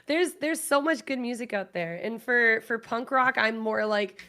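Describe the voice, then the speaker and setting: An enthusiastic woman with a fairly high voice chats as a guest on a talk show. Her delivery is informal and freely peppered with swearing. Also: normal speed, pushed and bright. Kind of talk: casual chat.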